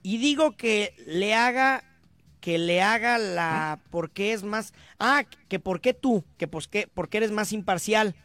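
A Mexican man hosting a sports radio show, reading listener messages in brisk, chatty, teasing banter. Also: high-pitched, a bit bright, no echo. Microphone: studio microphone.

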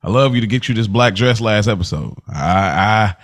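Rambling animated male podcaster. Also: on the low side, normal speed. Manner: animated, rambling, acts out scenes. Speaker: podcast co-host. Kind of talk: casual chat.

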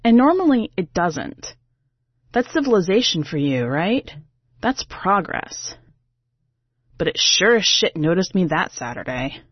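A woman's voice: lowish voice, dry recording, muffled recording. A woman reading a first-person story as a brash, sardonic singer, in a slangy, emphatic, conversational way. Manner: sassy, slangy, irreverent, emphatic. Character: brash, sardonic urban woman singer, self-declared diva, telling her tale.

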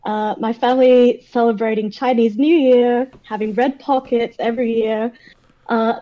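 A woman's voice: fairly high pitch, moderate pace, highs cut off. A woman with an Australian accent chatting casually and telling personal stories in an informal, talkative way, as a guest in an interview. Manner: chatty, animated retelling with acted-out quotes and frequent "like".

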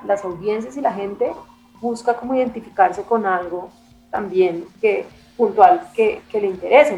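Interview guest: woman, Bogotá accent, medium pitch, conversational and emphatic storytelling, faint music bed. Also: a little mellow, low-quality recording. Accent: Bogotá Colombian. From Bogotá, Colombia. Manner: conversational, emphatic, repeats phrases for stress. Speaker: interview guest, music and culture magazine editor. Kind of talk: casual, reflective interview storytelling.

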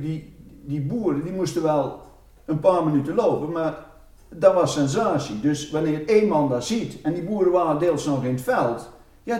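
A man's voice: fairly high pitch, dark tone. A man telling family wartime stories in Dutch in a casual, anecdotal way, as an interviewee. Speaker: interviewee recounting his mother's wartime stories. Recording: roomy, distant microphone.

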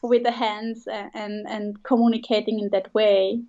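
A woman's voice: medium pitch, flat delivery, moderate pace, muffled recording, a crowd in the background. A woman in her thirties from Switzerland, a guest chatting casually in an interview, hesitant and often restarting with light laughs.

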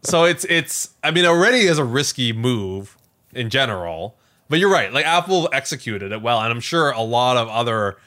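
A man with a bright, mid-pitched voice co-hosts a casual tech podcast. His delivery is very animated, swinging up and down as he chats and slips into imagined quotes. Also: moderate pace. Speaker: podcast co-host.

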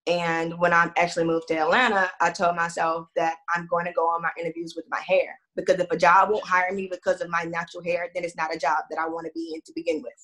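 A woman's voice: lowish voice, flat delivery, fast talker, hardly any pauses, a bit bright, rough recording. A woman in her twenties from Houston with a Texan accent, speaking firmly and outspokenly in a casual group discussion. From Houston, Texas. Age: 20s. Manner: firm, outspoken. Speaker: discussion participant.

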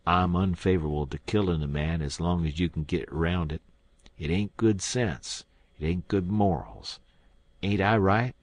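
A man voicing a cool-headed, scheming gang leader in an audiobook, with deliberate, persuasive rustic talk. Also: low-pitched, no echo. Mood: calmly scheming. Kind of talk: audiobook character acting.

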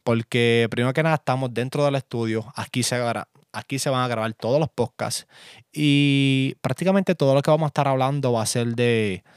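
A young Puerto Rican man talks loosely through a solo podcast, dropping fillers and asides as he goes. His voice sits at an ordinary middle pitch. The sound is close and dead dry, with no room around it. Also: brisk, clear, resonant tone, no room sound. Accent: Puerto Rican Spanish. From Puerto Rico. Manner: relaxed, chatty, frequent fillers and asides. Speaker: podcast host and music producer. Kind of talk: casual solo podcast monologue. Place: home studio.

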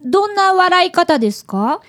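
A woman as a very high-voiced detective's assistant. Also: very animated, moderate pace. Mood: attentive. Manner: polite, helpful, matter-of-fact. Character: prim, attentive female detective's assistant. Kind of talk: comic radio drama acting.

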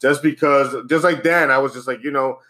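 A man in his thirties, born in the Dominican Republic, talks in a loose, rambling way, full of fillers, restarts and quick laughs. His voice sits at an ordinary middle pitch for a man. He is a guest in casual podcast chat. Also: steady tone, sharp tone.

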